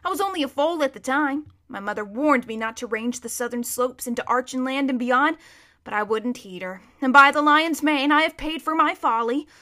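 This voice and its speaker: A woman's voice: high voice, moderate pace, bright, forceful tone. A woman reading a storybook as a proud, bossy but kindly male war horse, with an emphatic, lecturing manner. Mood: rueful pride. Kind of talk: children's storybook character reading.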